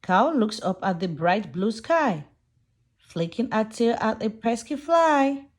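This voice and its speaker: A woman reading a rhyming children's farm book aloud in a slow, gentle way. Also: on the high side, very animated. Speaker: storybook reader.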